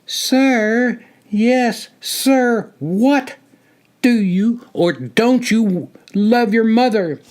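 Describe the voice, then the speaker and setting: A man's voice: very high voice, animated, drawn-out words, a little mellow, voice pushed up, full character voice. A man voicing a harsh senior officer candidate who screams orders and mocks in sing-song. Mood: mocking.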